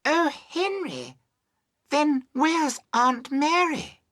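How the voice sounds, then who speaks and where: A distraught young woman from a storybook, as a man acts her out, wailing in a very high voice. The delivery is drawn out and melodramatic. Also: animated, drawn-out words, pushed and bright, no room sound, clean studio sound, exaggerated voice. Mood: frantic wailing.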